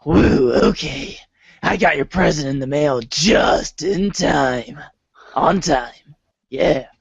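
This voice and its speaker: A man's voice: fairly high pitch, slow, creaky, breathy edge, no room sound, highs cut off, full character voice. A man playing an awkward, friendly teenage boy in an amateur reader's theater, with a hesitant, self-conscious way of talking.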